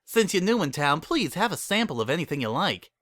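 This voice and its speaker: An eager lady pony who keeps a candy shop acted out by a man in a story reading. The voice is raised and lively, with a folksy, easily flustered manner. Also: a little high, a little fast, no echo, clean studio sound, pitched above the performer's own voice. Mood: welcoming. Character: friendly, flustered female pony candy shopkeeper.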